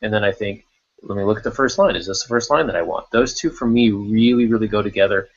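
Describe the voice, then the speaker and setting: A man's voice: on the low side, quick pace, lo-fi sound. A man hosting a writing podcast in casual unscripted talk, thinking aloud and repeating words as he goes. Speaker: podcast host discussing writing craft.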